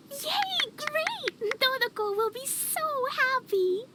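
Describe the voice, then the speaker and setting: Casting: sweet, innocent little girl for a game, extremely high, lively childlike delivery, eager. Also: slow, very noisy, full character voice. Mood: excited. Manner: eager, guileless. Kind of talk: video game voice acting.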